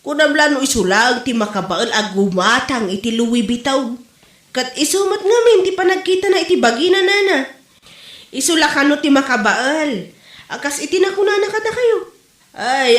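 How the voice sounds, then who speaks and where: A woman's voice: sits high, fairly bright tone. A woman acting as a gossipy, indignant co-worker in an Ilocano comedy drama, with an Ilocano Filipino accent and an exclaiming delivery. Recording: roomy, echoey room sound.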